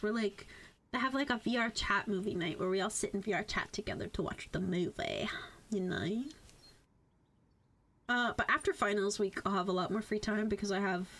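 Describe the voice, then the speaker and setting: A woman's voice: mid-range voice, fairly bright tone. A young woman livestreamer chatting casually, playful and informal, swearing freely. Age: young adult. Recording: music playing behind the voice. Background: music.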